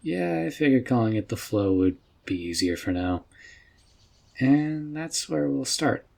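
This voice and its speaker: A man playing a friendly, relaxed mage mentor with a folksy, reassuring way of talking in a roleplay audio drama. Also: medium pitch, a little slow, vocal fry. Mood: casual, amused. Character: easygoing, friendly male fantasy mage mentor.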